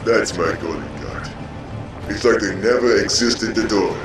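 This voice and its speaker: A man playing a smug, coolly sadistic villain in a comic book audio drama, with a measured, taunting delivery. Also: lowish voice. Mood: cold menace. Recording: roomy, distant mic, music behind.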